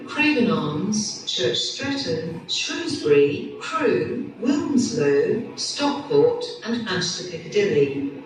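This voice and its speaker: Slow female station announcer, heavy echo. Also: medium pitch. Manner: formal, deliberate listing. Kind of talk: scripted public announcement. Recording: echoey, distant station PA with music.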